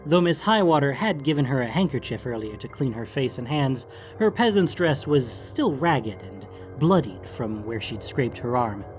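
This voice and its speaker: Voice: medium pitch, sing-song, normal speed, soft background music. A narrator reading a scripted fantasy story for an audiobook.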